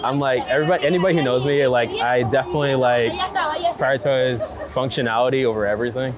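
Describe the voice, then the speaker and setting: A young man in casual, slangy conversation, speaking in halting phrases with lots of filler words. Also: medium pitch, steady tone. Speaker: street photographer being interviewed. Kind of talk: casual chat on the street. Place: outdoors on busy city streets. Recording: loud city traffic noise outdoors. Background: loud urban ambience and passing vehicles.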